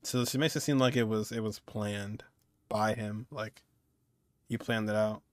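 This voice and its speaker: A man's voice: lowish voice, fairly even intonation, unhurried, dry recording, clean studio sound. A man in his twenties giving casual, musing reaction commentary as a game streamer. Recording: game music behind the voice.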